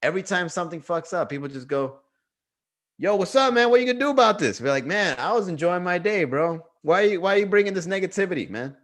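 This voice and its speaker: A man's voice: high voice, animated, quick pace, a bit bright. Slangy Miami man riffing on a livestream. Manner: loose, slangy, joking with chat. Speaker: comedy livestream host. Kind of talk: casual livestream stand-up riff.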